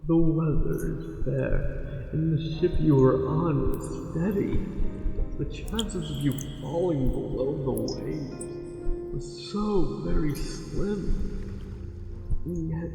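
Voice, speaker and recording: In a deep wash of reverb, a man plays a courtly, lovestruck merman who speaks slowly and seductively. His creaky voice lingers over formal phrases with possessive devotion, in the intimate style of ASMR roleplay. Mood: smitten, fervent. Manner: formal, deliberate, intimate, pausing between phrases. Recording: very echoey, long reverb, faint music.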